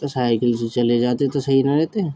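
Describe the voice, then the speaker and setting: A man's voice: medium pitch, steady tone, moderate pace, dark tone. A man chatting casually on the phone in a drowsy, laid-back manner. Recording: phone call with faint background noise.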